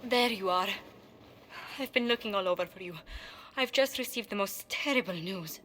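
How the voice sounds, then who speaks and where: Bright forward female voice, actress as refined young diplomat, formal, proper, video game, slight ambient noise. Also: medium pitch, normal speed, natural voice. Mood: distressed, flustered. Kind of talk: video game cutscene acting.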